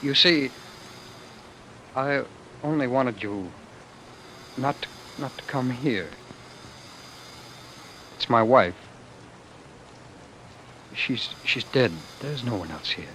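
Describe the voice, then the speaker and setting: An actor playing a tender, desperate fugitive husband in old-time radio drama style, halting and stammering over his words. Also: mid-range voice, fairly bright tone. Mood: pleading, frightened. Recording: noisy vintage radio drama broadcast.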